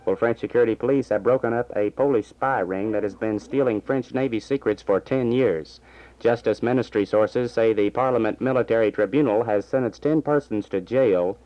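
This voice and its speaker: A man reading scripted news and announcements as a 1960s radio newsreader, formal and measured. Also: fairly low pitch. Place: radio station studio. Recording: dull lo-fi vintage AM radio recording.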